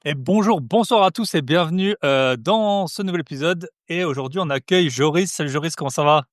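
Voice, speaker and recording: A young adult man with a sing-song accent hosting a podcast. He talks casually and pitches eagerly, with lots of "euh" fillers. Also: brisk, a little hiss or hum. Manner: chatty, many "euh" fillers, sales-pitch enthusiasm. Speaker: podcast host. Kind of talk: casual podcast monologue and promo.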